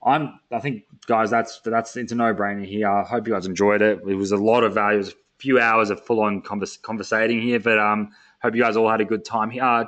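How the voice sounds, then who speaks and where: This is a young man wrapping up a sales webinar. His voice sits on the low side, and he talks casually with lots of fillers. His energy is upbeat and full of hype. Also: fairly bright tone. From Australia. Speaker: webinar host.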